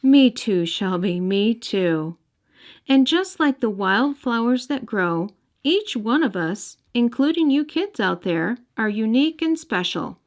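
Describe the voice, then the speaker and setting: A woman's voice: a little high, expressive, takes it slowly. A woman reading a story aloud expressively for young children. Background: music.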